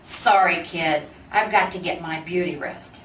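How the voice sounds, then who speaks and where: A woman's voice: on the low side, measured pace, fairly bright tone. A woman voicing a big, weary male teddy bear, blunt, grumbling and dismissive, in a storybook reading. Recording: echoey room, distant mic, faint noise.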